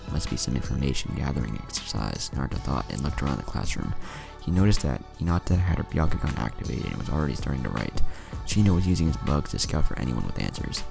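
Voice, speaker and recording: A man's voice: rumbling low, gravelly, dark tone. A man reading a scripted fan fiction story as narrator, voicing the dialogue as he goes. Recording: music behind the voice. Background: music.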